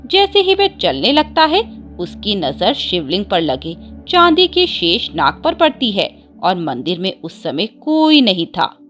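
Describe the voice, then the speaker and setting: A woman's voice: mid-range voice, wildly swooping pitch, measured pace, fairly bright tone, highs cut off, soft background music. A woman narrating a scripted devotional story as a storyteller.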